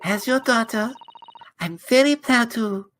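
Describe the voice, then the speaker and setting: A woman's voice: on the high side, measured pace, slight background noise, lightly acted. A woman voicing a prim young Japanese woman in a fan dub of a game, speaking in an indignant, accusing way.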